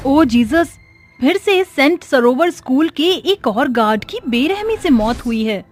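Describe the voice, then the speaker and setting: A woman's voice: high voice, animated, a little slow, a little mellow, faint music behind. A woman voicing a brave, devout female schoolteacher in a horror story, emphatic and challenging. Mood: shocked. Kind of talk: dramatic horror story acting.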